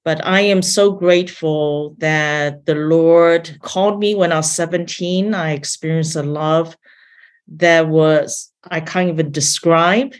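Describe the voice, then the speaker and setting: A woman from Taiwan who grew up in several countries, a missions leader sharing her faith story earnestly and haltingly as an interview guest. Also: sits low, steady tone, clear, resonant tone. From born in Taiwan, grew up in several countries. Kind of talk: personal testimony in an interview.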